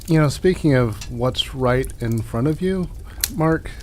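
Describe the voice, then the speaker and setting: Over clear background noise comes a man acting as a gentle small-town doctor in an improvised radio drama. He picks his words with care, stalling and hedging as he soothes in a mid-pitched voice. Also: animated, lightly acted. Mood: tactful, probing. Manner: halting, tactful, placating, hedging.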